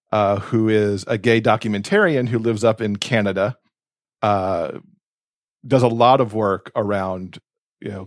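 A man's voice: fairly low pitch, no echo, studio quality. A man co-hosting a podcast, talking conversationally in a discussion. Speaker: podcast co-host.

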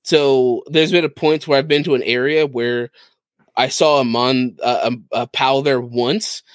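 A man's voice: medium pitch, fairly even intonation, measured pace, no echo, clean studio sound. A man talking casually as a podcast co-host, explaining things with enthusiasm. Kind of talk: casual chat about video games.

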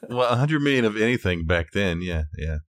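A man chatting casually as a podcast co-host, laconic and low-key in his responses. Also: animated, fairly quick, no room sound, studio quality. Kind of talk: casual chat.

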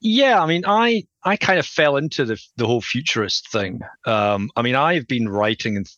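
A man's voice: medium pitch, expressive, normal speed, fairly bright tone, no room sound, highs cut off. A man from Scotland with a Scottish accent, a guest futurist talking casually and self-deprecatingly with many restarts. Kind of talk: casual podcast interview.

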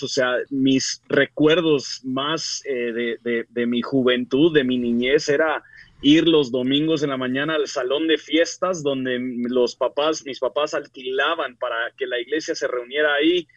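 A man from the United States with a Mexican Spanish accent, telling his story as an interview guest in a relaxed way with frequent restarts. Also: fairly high pitch, no echo, dull audio. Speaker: pastor and author guest. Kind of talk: casual testimony interview.

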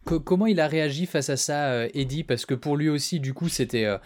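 A man interviewing someone in a casual, chatty way, putting his questions informally and haltingly. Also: a little high, darkish tone.

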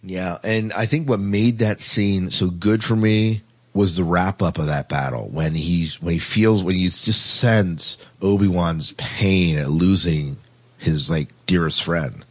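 Male podcast co-host, lower-pitched voice, informal and conversational delivery. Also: unhurried. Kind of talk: casual podcast talk.

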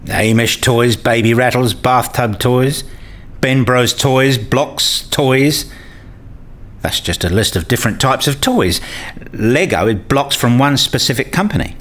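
A man playing a keen, inquisitive learner in a radio play, questioning and candid, quick to challenge what he is told. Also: medium pitch, fairly bright tone, natural voice. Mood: curious, analytical.

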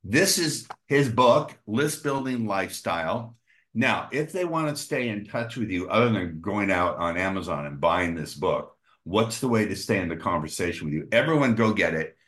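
A man's voice: fairly low pitch, animated, normal speed, fairly bright tone. A man hosting a podcast interview, chiming in with affirmations and steering toward the wrap-up. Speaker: podcast host.

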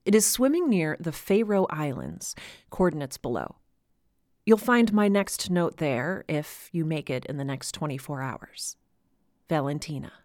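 A woman playing an eccentric mentor reading out a cryptic note in measured, deliberate audio fiction style. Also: low voice, big pitch swings, darkish tone, no echo, clean studio sound. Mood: cryptic, composed.

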